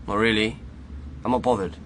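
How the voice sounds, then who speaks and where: A man's voice: fairly low pitch, fairly even intonation, brisk, fairly bright tone, a little hiss or hum, close to an everyday voice. A man playing a sullen, defensive teenage schoolboy in a radio drama, guarded and offhand, with an English accent. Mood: bored, offhand. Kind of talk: naturalistic radio drama dialogue.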